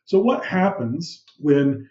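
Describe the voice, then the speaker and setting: A man, a podcast guest and business founder, explaining ideas in an animated way with rhetorical questions and a big, commanding voice. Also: a little high, slow pace, roomy sound, highs cut off. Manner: animated, uses vivid room analogies and rhetorical questions; describes his own voice as big and commanding. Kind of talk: explanatory interview talk.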